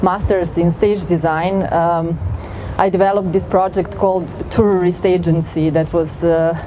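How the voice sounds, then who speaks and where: Woman, hesitant speaker, fairly low voice, outdoor street noise. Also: steady tone, a little slow, mellow, low-quality recording. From northern Serbia. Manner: hesitant, many fillers, friendly. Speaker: research fellow, invited speaker. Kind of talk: talk on a guided city tour. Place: outdoor city square. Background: street traffic.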